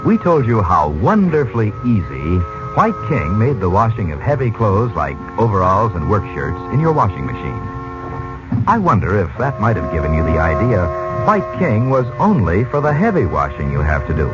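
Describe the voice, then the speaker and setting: A man's voice: lowish voice, wildly swooping pitch, moderate pace. A man working as a radio announcer, reading a 1940s-style commercial in a folksy, persuasive salesman manner. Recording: dull lo-fi radio recording, music behind. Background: music.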